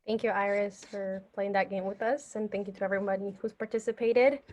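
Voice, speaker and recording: A woman moderator with an ordinary pitch speaks in brief, polite lines, thanking people and passing the turn to each panelist. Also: fairly even intonation, brisk, a little mellow.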